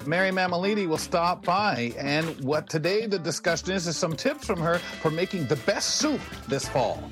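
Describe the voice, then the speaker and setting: A man's voice: fairly high pitch, very animated, moderate pace, music in the background. A man with a Canadian accent chatting casually as a radio show co-host. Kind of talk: casual conversational chat.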